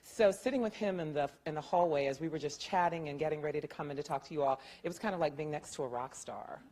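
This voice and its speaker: Middle-aged woman moderator, low voice, chatty onstage interviewer. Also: fast talker. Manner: chatty, laughing, self-deprecating asides. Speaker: moderator of a panel conversation. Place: event room before an audience. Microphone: handheld mic, at first off and from far away. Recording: distant, quiet pickup from across the room.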